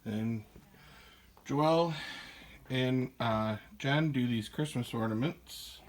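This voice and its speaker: A man hosting a podcast, casually walking through a small craft store and describing it, with relaxed, rambling talk full of fillers. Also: medium pitch, takes it slowly. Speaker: podcast host giving a store tour. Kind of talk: casual walkthrough narration.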